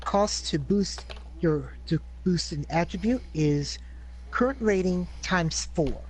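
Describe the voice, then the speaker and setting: A man, a player, reading out rules step by step, methodical, with hesitant pauses. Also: high voice, animated, slight background noise, rough recording. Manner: methodical, plain explaining with hesitant "uh"s.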